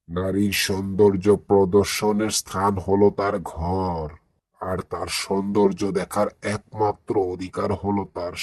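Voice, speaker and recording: A man voicing a wise, grandfatherly elderly village uncle in a moral cartoon, husky and measured, with a Bangladeshi accent. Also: lowish voice, dry recording. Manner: measured, instructive, gently questioning. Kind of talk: cartoon moral story dialogue.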